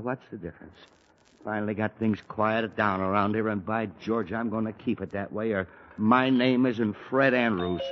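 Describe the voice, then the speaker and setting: A man's voice: fairly low pitch, creaky, exaggerated voice. An actor playing an exasperated middle-aged father with a headache, in 1940s radio acting style. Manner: exasperated, blustering. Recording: dull old 1940s radio broadcast.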